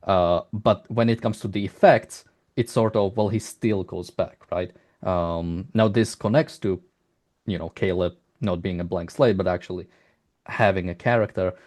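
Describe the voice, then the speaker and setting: A man interviewing a developer in a conversational style, with halting, hesitant phrasing. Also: sits low, fairly even intonation, darkish tone.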